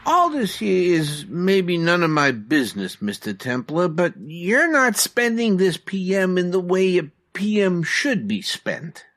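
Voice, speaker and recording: A man's voice: high-pitched, very animated, unhurried, few pauses, a bit bright, heavily acted. A man playing a loyal, wry 1940s cab driver with colloquial, streetwise phrasing, in old-time radio comedy style.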